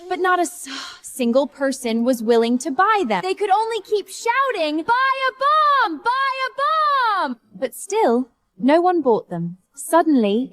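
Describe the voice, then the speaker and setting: A high, bouncy young woman in a dubbed cartoon drama, her voice leaping all over the scale. She teases and coaxes in a sing-song way, exuberant and unstoppable. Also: no room sound. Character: bubbly, pushy young woman doting on a sulky boy.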